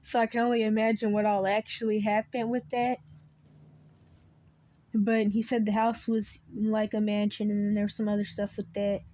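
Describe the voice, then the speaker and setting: A woman's voice: medium pitch, little pitch movement, moderate pace, mellow. A woman giving an unscripted, rambling monologue to the camera. She often loses her train of thought and starts over, and her voice cracks. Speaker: video creator.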